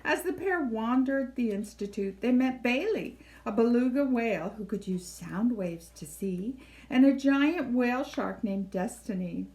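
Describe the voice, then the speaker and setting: A woman with a fairly high voice narrates a children's storybook. She reads expressively and shapes her phrases deliberately, as in a library read-aloud. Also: animated, a little mellow, poor audio.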